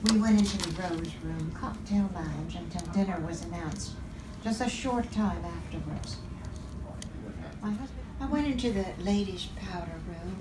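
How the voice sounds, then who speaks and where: A woman playing a wealthy, haughty widow in a noir radio play, deliberate and melodramatic in manner. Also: mid-range voice, close to an everyday voice. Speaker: actor. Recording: echoey, noisy, distant rehearsal recording.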